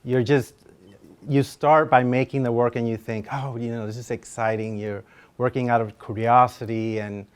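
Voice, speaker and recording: Male guest lecturer from Honduras, very clean resonant voice, candid and modest, informal and halting delivery. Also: mid-range voice, fairly even intonation, clear, resonant tone. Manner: hesitant, humble, candid. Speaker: fine-art photographer, guest speaker.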